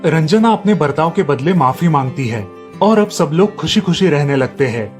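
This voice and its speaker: A man's voice: a little high, expressive, normal speed. A man narrating a scripted moral family story. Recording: music under the voice. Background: background music.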